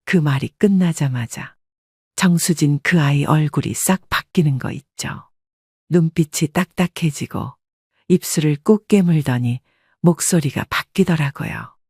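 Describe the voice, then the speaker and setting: An older woman with a husky voice reads a first-person confessional story as scripted narration. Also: deep voice, unhurried, darkish tone, dry recording, clean studio sound.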